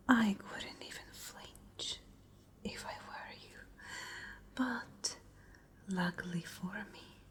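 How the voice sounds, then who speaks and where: A woman playing a cruel, haughty vampire noblewoman in a whispered ASMR roleplay, breathy, deliberate and taunting. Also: mid-range voice, fairly bright tone. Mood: disdainful, taunting. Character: cruel, menacing noble lady vampire of a castle.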